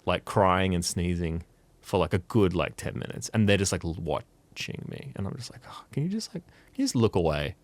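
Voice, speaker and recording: A man's voice: low voice, a little fast, no room sound. A man, co-hosting a casual podcast and telling stories with self-mocking asides. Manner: chatty, animated storytelling with self-mocking asides. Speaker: podcast co-host, musician. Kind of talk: casual chat.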